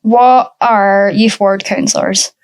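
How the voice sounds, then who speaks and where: A woman interviewer reading out her questions one by one, with a mid-range pitch. She thanks each guest politely after every reply. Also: steady tone, slow pace. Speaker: podcast host.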